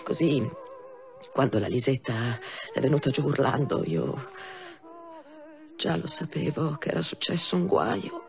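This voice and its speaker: An actress playing a hard, blunt brothel madam in a radio drama, speaking with intensity. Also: female voice, a bass voice, expressive, a little slow, creaky, pauses often, heavily acted. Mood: broken, confessing. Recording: muffled radio drama sound, faint music.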